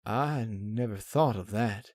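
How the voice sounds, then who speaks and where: A plain-spoken female farm pony, played by a man in a story reading, pitched a little above a man's usual voice. She sounds steady and folksy, talking things through calmly. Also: slow, no echo, clean studio sound. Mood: uneasy.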